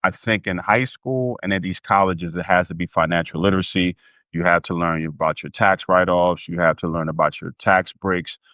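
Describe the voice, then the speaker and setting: Deep-voiced American man, close dry sound. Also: fairly even intonation, moderate pace, pushed and bright, dry recording, muffled recording. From United States. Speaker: interview guest, former pro athlete. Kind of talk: animated personal conversation.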